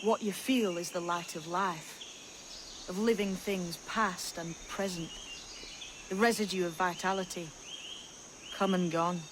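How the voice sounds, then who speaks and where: A woman playing a wise, secretive young sailor in a video game cutscene, speaking in a measured way with hushed phrase endings. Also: fairly low pitch, steady tone, noisy background, natural voice. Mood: reverent, gentle.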